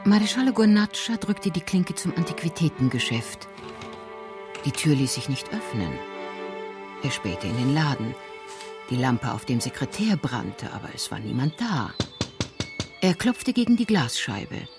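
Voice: medium pitch, big pitch swings, a little slow. Narrator of a crime story, music behind. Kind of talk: scripted crime drama narration.

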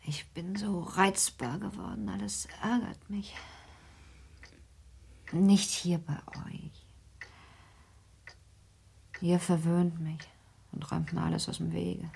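A woman acting a frail, wistful young wife in a radio play, soft and often slipping into a whisper. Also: on the low side, takes it slowly, creaky, pauses often, a little hiss or hum. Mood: fretful, tender.

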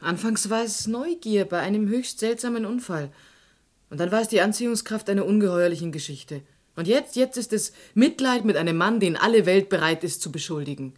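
A woman plays a young amateur detective in a radio crime drama. Her medium-pitched voice is composed and self-possessed. She speaks directly and with curiosity. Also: expressive, brisk, darkish tone, close to an everyday voice. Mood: composed, defiant.

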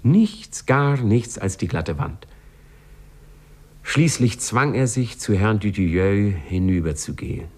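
The storyteller of an old radio drama. His voice is fairly low and husky, and he tells the scripted tale precisely, with grave irony. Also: expressive, takes it slowly, a little mellow. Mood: measured, dramatic. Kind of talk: scripted radio play narration.

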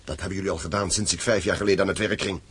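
Stern, husky low-voiced mine boss. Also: male voice, steady tone, rapid-fire, fairly bright tone, heavily acted. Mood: indignant, threatening. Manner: curt, authoritative, threatening. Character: stern, wealthy copper mine owner, accusing and proud. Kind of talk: radio drama acting.

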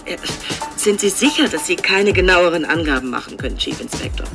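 A dry, put-upon female bureaucrat in a radio play. Her lowish voice moves in lively swings as she shows her reluctance, with music behind. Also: fairly quick. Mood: reluctant, dry. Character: reluctant, dryly bureaucratic female records official. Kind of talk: radio drama dialogue.

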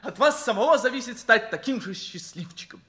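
An actor playing a genial, teasing gentleman in a 1960s radio play, speaking in a jovial, coaxing manner. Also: very high voice, expressive, a little fast, full character voice. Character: genial, teasing male friend about to go travelling.

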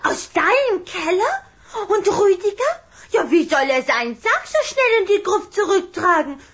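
A woman playing an affectionate, excitable little vampire girl in a children's audio drama, coy and eager in manner. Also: very high voice, measured pace, exaggerated voice. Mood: concerned.

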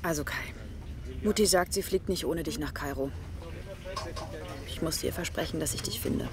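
An actress playing a worried, exasperated young daughter in a naturalistic radio drama, speaking colloquially and intimately. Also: female voice, sits low, moderate pace, heavy background noise. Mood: pleading.

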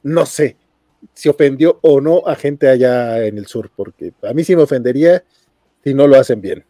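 A man's voice: mid-range voice, normal speed, warm tone, no echo. A Mexican man with a Mexican Spanish accent, chatting easily on a podcast panel and chuckling between points. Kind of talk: casual panel chat.

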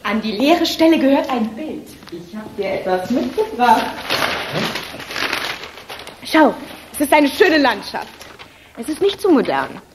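Playful loving girlfriend in a radio play, high bouncy voice, noisy recording. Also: sing-song, a little slow, long pauses. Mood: cheerful, eager. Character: affectionate, teasing, lively girlfriend. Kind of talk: radio drama dialogue.

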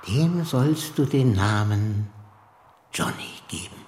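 An actor playing a mild, solemn angel reciting biblical words in a radio play, deliberate in manner. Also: male voice, on the low side, animated, a little mellow. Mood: solemn annunciation. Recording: echoey, faint noise.

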